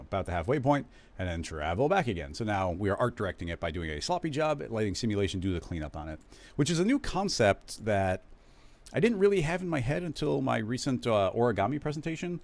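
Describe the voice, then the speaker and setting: A man hosting a live stream, casually talking viewers through a software tutorial, rambling and correcting himself as he goes. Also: fairly low pitch. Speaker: live stream host teaching 3D animation software.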